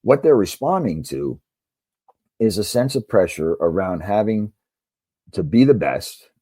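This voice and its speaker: Low, mellow American man, husky edge. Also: big pitch swings, unhurried, no room sound, studio quality. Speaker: guest, basketball coach and author. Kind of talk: conversational interview, telling anecdotes.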